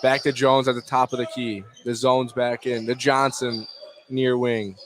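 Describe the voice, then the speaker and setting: A man does live play-by-play from inside a gym, with slight background noise and bouncing balls. His voice sits at an average pitch. He calls the action in short, clipped bursts, as a radio basketball announcer does. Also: level tone, a little slow. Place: indoor high school gym, courtside.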